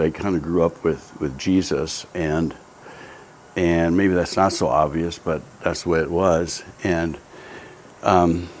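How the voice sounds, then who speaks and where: Slow, deep-voiced older man reminiscing. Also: a little mellow, slight background noise. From Japan. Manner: hesitant, many ums and restarts. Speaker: interviewee telling his life story. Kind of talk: reflective personal storytelling.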